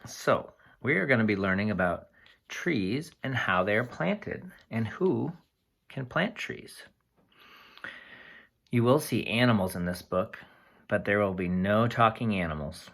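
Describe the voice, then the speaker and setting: A teacher reads a picture book aloud to young children in a husky voice. The delivery is slow and deliberate, with lively swings in pitch and simple explanatory asides along the way.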